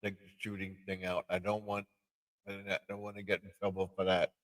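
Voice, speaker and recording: A man hosting a livestream in casual chat, halting and repeating himself as he goes. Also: low-pitched, completely flat delivery, a bit bright. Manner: repetitive, halting. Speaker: livestream host.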